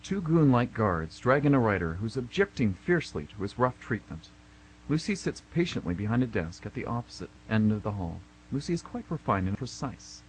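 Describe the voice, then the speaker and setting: A man's voice: medium pitch, very animated, brisk, a little hiss or hum, poor audio. A man narrating and announcing for an amateur radio theatre show.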